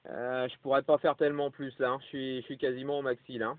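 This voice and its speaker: A man's voice: medium pitch, flat delivery, quick pace, no echo. A man chatting casually as a radio amateur, rambling and tacking little tags onto his phrases, over a CB radio. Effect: CB radio transmission. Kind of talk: casual two-way radio chat. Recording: narrow, tinny two-way radio audio.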